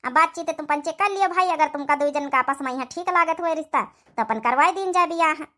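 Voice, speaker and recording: A woman's voice: high-pitched, fairly quick, bright, forceful tone. A woman playing a blunt, dutiful young village woman in a cartoon drama, speaking forthrightly with an Awadhi accent. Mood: earnest, insistent. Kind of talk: cartoon comedy-drama dialogue in village dialect.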